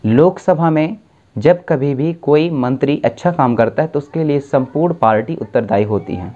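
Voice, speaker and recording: A man's voice: on the high side, mellow, lo-fi sound. A young man giving an exam-prep civics lesson to camera, explaining step by step and often correcting himself. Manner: explains slowly with self-corrections and restarts. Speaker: civics tutor. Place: dim indoor room, seated at a desk.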